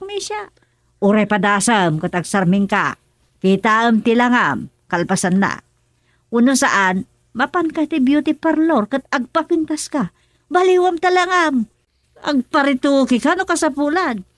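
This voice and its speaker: A woman acting as a talkative, teasing village aunt in a radio comedy drama, with an Ilocano Filipino accent and animated exclamations. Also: high-pitched, measured pace, no room sound, clean studio sound. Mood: coaxing.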